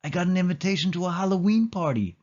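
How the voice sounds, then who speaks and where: Storybook role: mild, hapless boy, voiced by a man, higher pitch, exclaiming, slightly dull recording. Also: lively intonation, fast talker, a little mellow. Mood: excited.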